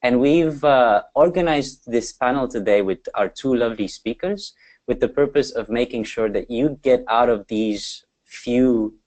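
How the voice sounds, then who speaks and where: A man's voice: mid-range voice, a little slow, a little mellow. Upbeat man moderating a webinar. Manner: upbeat, lots of fillers. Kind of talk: webinar panel hosting. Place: Amsterdam.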